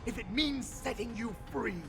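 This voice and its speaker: A cunning, devoted beast servant from a video game, addresses a master with humble formality. The voice is high for a male and theatrically deferential. Music plays underneath. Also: normal speed. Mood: pleading, devoted. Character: cunning humble male beast counselor disguised as fox-man, reverent, devoted. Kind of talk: dramatic video game voice acting. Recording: game audio with music behind.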